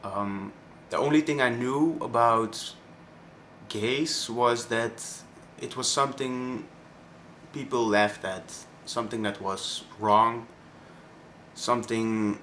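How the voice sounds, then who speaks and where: A man of twenty-one from Belgium with a Flemish accent, his first language Dutch, speaking haltingly with many pauses in a personal vlog. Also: fairly low pitch, fairly bright tone, slight background noise. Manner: hesitant, many ums and pauses. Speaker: vlogger.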